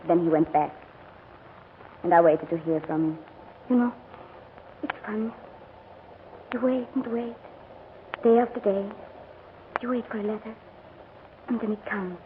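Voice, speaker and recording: A woman's voice: mid-range voice, unhurried, pauses often, narrow, tinny audio, lightly acted. An actress playing a brave, earnest, devoted young Frenchwoman in a 1940s radio drama. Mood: wistful grief. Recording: thin, hissy old radio recording.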